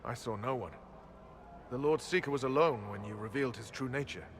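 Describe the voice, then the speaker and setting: An actor playing a grim, resolute templar knight officer in a fantasy video game, commanding and earnest. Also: male voice, medium pitch, fairly quick, a little hiss or hum, lightly acted. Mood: grim regret. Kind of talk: dramatic video game voice acting.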